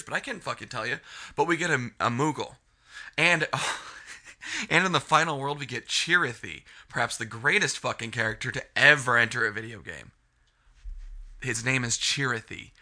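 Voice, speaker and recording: A man's voice: on the high side, pushed and bright. A man reviewing a video game in a casual, rambling rant, sniffly from a cold and swearing freely. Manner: frustrated, rambling, heavy swearing.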